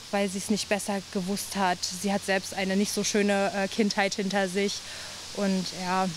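A woman giving calm, measured interview answers with some hesitant fillers. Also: mid-range voice. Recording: hissy recording.

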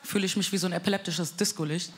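A young woman from Bavaria doing a cheeky, deadpan stand-up set on a club stage. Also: lowish voice, very fast. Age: young adult. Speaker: stand-up comedian. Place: live comedy club stage. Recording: live stage mic, loud crowd and room noise.

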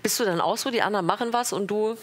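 A woman's voice: medium pitch, racing. A woman hosting a talk show, interviewing her guest in a bubbly way and laughing often.

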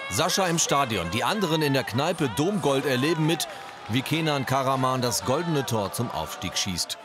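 A man's voice: mid-range voice, sing-song, moderate pace, a crowd in the background. A man narrating a regional TV news feature as a scripted voice-over.

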